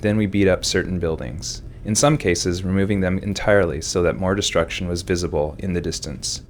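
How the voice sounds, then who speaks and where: A man with a very low voice delivers scripted developer commentary. He sounds like he is explaining his own work from a prepared text. Noticeable background noise sits behind him. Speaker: game developer giving commentary. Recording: clearly noisy background.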